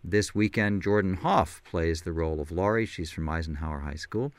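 A man hosting a radio interview in a studio, talking in a relaxed way with frequent fillers. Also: deep voice, moderate pace, no room sound. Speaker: radio host. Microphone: studio microphone.

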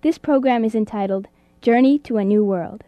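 A woman reading a scripted documentary introduction in an even, formal manner. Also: a little high, moderate pace, no room sound, lo-fi sound. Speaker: narrator.

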